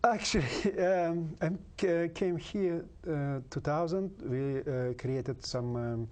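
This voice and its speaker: Halting man with a Russian accent. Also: on the high side, a little mellow. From Kalmykia, Russia. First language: Kalmyk. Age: 50s. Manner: halting, many pauses and fillers, searching for English words. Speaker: guest. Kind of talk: interview answers.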